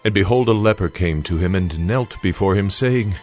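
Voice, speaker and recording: Deep-voiced solemn male narrator. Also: darkish tone. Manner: solemn, measured. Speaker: Bible narrator. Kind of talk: scripted dramatic scripture narration. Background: background music.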